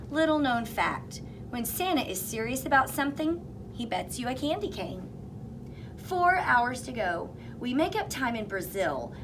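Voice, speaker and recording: A woman reads a picture book aloud with lively expression, slipping into hushed whispers at tense moments. Her voice sits at an ordinary middle pitch. Clear background noise hangs under the whole recording. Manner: expressive, dropping to whispers for suspense. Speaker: reader. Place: elementary school.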